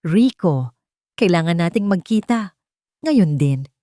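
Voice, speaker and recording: A woman's voice: on the low side, animated, takes it slowly, mellow, no room sound. A guilty, fearful younger woman from an audio love drama, acted by a female narrator. She speaks with urgency, in a dry, close recording. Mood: panicked, tearful.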